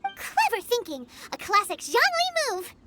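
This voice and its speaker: A woman voicing a tiny, excitable, chirpy childlike companion with a squeaky voice in a video game. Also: measured pace, music in the background, heavily acted. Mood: excited. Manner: refers to self in third person, exclaims eagerly.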